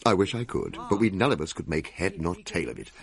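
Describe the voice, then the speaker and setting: An actor playing a wry, droll Victorian gentleman who narrates a radio drama of ghost tales, with a gravelly voice. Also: low voice, lively intonation, a little fast. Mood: wry exasperation.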